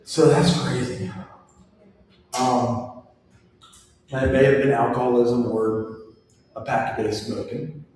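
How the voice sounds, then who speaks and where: A man's voice: medium pitch, steady tone, slow pace, pauses often, darkish tone, faint music behind. Man in his forties lecturing in an echoey hall. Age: 40s. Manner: chatty, personal asides. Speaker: instructor. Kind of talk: casual classroom lecture. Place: classroom. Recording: echoey hall recorded from far off.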